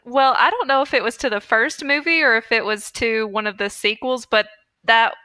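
A woman's voice: on the high side, moderate pace, bright, forceful tone, dry recording. A young woman co-hosting a podcast in casual, informal, slangy conversation. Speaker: podcast co-host. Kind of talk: casual chat.

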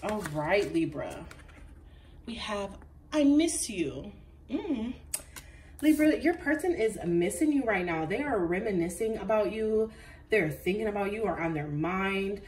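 A woman's voice: medium pitch, expressive, measured pace, darkish tone, far from the mic, a little hiss or hum. A woman reading tarot cards to camera in a casual, chatty way, a little congested from a recent flu, with frequent ums and laughs. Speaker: tarot reader. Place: indoors at a table with crystals.

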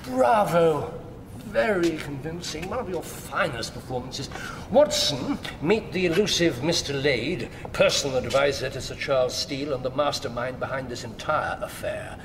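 A man plays a shrewd English detective in a radio drama. He is assured, theatrical and sardonic, his pitch moving freely over a clear rumble of background noise. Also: expressive, moderate pace, lightly acted. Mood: gloating politely.

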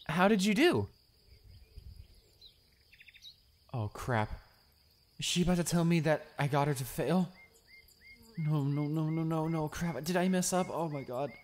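A young man acting a shy, anxious, bullied high school student in an audio roleplay, stammering and hesitant. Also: exaggerated voice. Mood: nervous, panicked. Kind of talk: romantic audio roleplay, one-sided dialogue.

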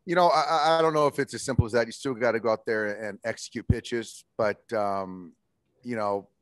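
A man in his forties, a baseball manager answering postgame press questions in a measured way, with many fillers and restarts, heard over a video call. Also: mid-range voice, dry recording. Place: indoors in front of a logo backdrop.

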